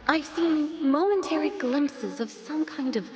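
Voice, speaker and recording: A high-voiced, regal alien empress. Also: female voice, highs cut off. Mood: troubled, earnest. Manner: formal, eloquent, measured delivery. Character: regal alien empress, pious, restrained, secretly yearning. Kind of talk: dramatic video game voice acting. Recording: loud music behind the voice.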